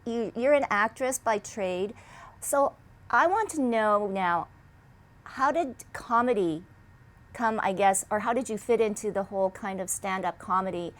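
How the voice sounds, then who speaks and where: A woman hosting a casual interview, upbeat and animated, laughing often. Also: mid-range voice, unhurried. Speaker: host.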